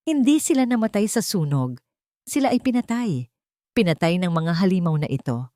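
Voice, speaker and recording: Woman narrator, dramatic emotive storytelling, lowish pitch, dry close sound. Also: dry recording.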